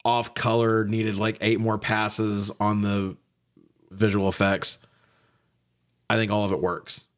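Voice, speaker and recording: Low-pitched man in casual film banter. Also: little pitch movement, takes it slowly, pauses often. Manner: informal, mildly sweary. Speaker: podcast co-host reviewing films.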